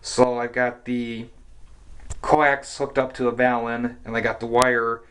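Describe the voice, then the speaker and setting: A man's voice: mid-range voice, measured pace, smooth. A man giving a casual, hesitant, rambling show-and-tell, narrating as he films on a handheld camera. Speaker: hobbyist vlogger. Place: around a house, basement and outdoors. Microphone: handheld camera microphone. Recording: noisy camcorder audio, steady background noise.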